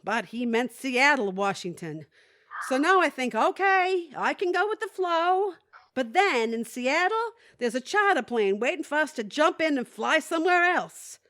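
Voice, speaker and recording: Animated, kvetching older widow. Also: female voice, high voice, measured pace, exaggerated voice. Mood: animated storytelling. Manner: kvetching, folksy, Yiddish-peppered. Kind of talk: audio theatre comedy acting.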